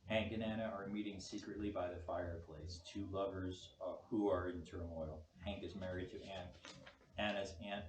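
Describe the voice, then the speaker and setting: A man's voice: low voice, fairly even intonation, moderate pace, far from the mic. A man reading stage directions aloud at a film script table read. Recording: echoey, noisy room, off mic.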